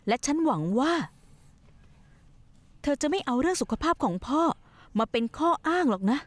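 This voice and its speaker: A young wife who schemes and presses. Her high voice coaxes and pushes in turns. It is recorded dry and close for a TV dub. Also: expressive, moderate pace, no echo. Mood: insistent. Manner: coaxing, pushy, manipulative. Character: scheming young wife plotting to run away. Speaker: scheming wife in a dubbed drama. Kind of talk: dubbed television drama acting.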